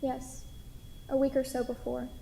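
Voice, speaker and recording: A woman's voice: sits high, monotone, unhurried, gravelly, warm tone, a little hiss or hum. A woman playing a kind, hesitant restaurant waitress in a staged play reading, recorded in an echoey hall. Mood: hesitant, tender. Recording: echoey hall with long reverb.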